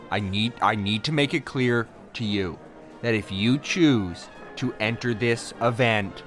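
A man playing a stern, formal school board official in an improvised radio play, speaking deliberately. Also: medium pitch, fairly bright tone, close to an everyday voice.

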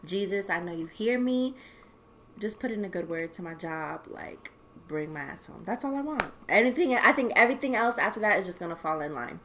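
A young woman co-hosting a podcast, talking casually in an animated, rambling way with plenty of swearing. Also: mid-range voice, brisk, noisy background. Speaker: podcast co-host. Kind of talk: casual chat.

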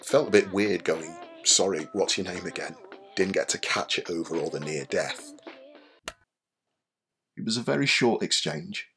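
A low-voiced young bar worker confides his story with wry self-deprecation. It is an intimate radio drama monologue, conversational in manner, with faint music underneath. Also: moderate pace, pauses often, a bit bright.

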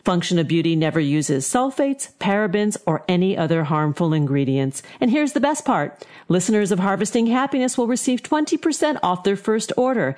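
A woman's voice: mid-range voice, sing-song, fairly quick, hardly any pauses, darkish tone. A woman hosting a talk show, presenting to listeners. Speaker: host.

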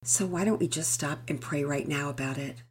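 A woman voicing a steady, kind father who gives calm, measured advice. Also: low-pitched, close to an everyday voice. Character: steady, kind father, middle-aged man.

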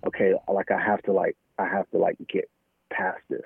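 An American man speaking candidly and informally as an interview guest, halting often and peppering his talk with "man" and "you know". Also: sits low, little pitch movement, vocal fry, husky, no echo. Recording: thin phone-like line, dry.

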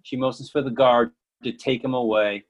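A man's voice: mid-range voice, steady tone, normal speed. A man reading stage directions as scripted narration for a play.

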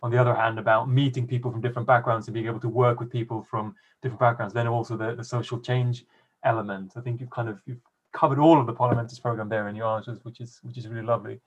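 A man hosting a podcast interview in a relaxed, conversational style. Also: medium pitch, quick pace, husky, dull audio. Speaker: podcast host.

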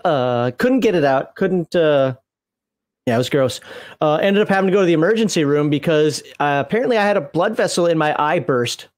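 A man with a slightly raised pitch chats loosely on a live stream. He rambles, fills gaps with "uh" and "you know," and laughs often, his voice a bit worn from days of talking. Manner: rambling, laughing, many fillers. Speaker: streamer co-host. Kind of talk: casual live-stream chat.

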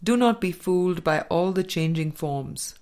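A woman reading a spiritual dialogue book aloud as an audiobook, in a measured, deliberate manner with pauses. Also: fairly low pitch, animated, a little mellow. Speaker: audiobook reader.

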